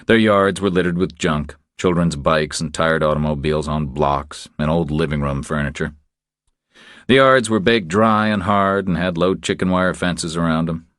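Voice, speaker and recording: Male narrator, very low bass pitch, scripted thriller audiobook, dry studio sound. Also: fairly bright tone, no echo.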